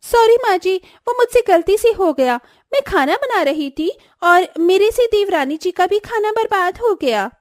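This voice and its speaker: A woman voicing a vain, jealous, haughty and sharp-tongued young housewife in a cartoon. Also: very high-pitched, animated, a little slow, few pauses, no echo, studio quality, pitched above the performer's own voice. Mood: apologetic. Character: vain, jealous, snappish elder sister-in-law.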